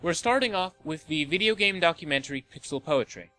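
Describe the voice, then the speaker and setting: A man's voice: on the high side, animated, brisk, sharp tone, faint music behind. A man hosting and narrating a scripted video essay in an opinionated, sardonic manner. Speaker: host of a video game movie list show.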